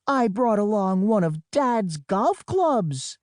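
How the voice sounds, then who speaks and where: A man plays a heroic teenage big sister in a children's storybook, pitched well up from his narration. She calls out commands boldly and matter-of-factly, with a dry, close sound. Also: sing-song, slow, no echo, exaggerated voice. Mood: confident, matter-of-fact. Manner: commanding, shouted delivery. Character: brave big sister, teenage girl, bossy and matter-of-fact.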